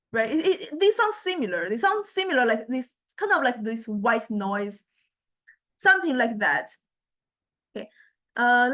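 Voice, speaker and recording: A woman lecturer giving an informal software demonstration, explaining haltingly and often checking in with listeners. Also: on the high side. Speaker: university lecturer.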